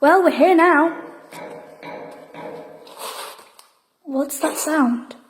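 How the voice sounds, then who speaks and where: A woman's voice: high voice, slow pace, in a large hall, a little hiss or hum. A woman playing a sceptical, curious wartime child who is blunt and challenging, in an audio drama. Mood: curious, uneasy.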